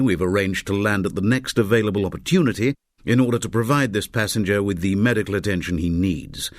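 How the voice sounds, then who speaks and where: A man's voice: fairly low pitch, no echo, studio quality, natural voice. A man voicing a composed airline captain making a formal announcement in a story. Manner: formal, measured.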